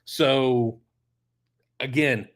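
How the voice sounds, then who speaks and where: A middle-aged man running a one-man sports talk show, his voice worn hoarse as if he is losing it. He speaks bluntly and with conviction, circling back over his points and stressing them twice. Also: medium pitch, steady tone, very slow. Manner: blunt, emphatic, repeats phrases for emphasis. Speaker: sports podcast host. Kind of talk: solo opinion talk answering listener questions.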